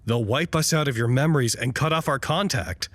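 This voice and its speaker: A man's voice: medium pitch, a little fast, a bit bright, natural voice. A man playing a wry, sardonic stranded doctor in an audio drama, speaking with dry, articulate sarcasm. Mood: cynical, resigned. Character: wry, sardonic male doctor stranded at a cut-off research site. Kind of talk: audio drama acting, conversational.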